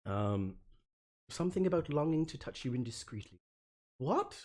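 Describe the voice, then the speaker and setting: A man's voice: fairly high pitch, very animated, normal speed, a little mellow. A man voicing a petulant, haughty teenage alien troll prince in an amateur comic reading, pompous and indignant in archaic, sweary speech. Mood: suspicious, indignant.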